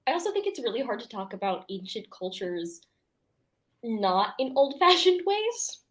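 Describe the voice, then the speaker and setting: A woman guest on a live book show, discussing casually in a thoughtful, halting way and often correcting herself. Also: a little high, big pitch swings, a bit bright.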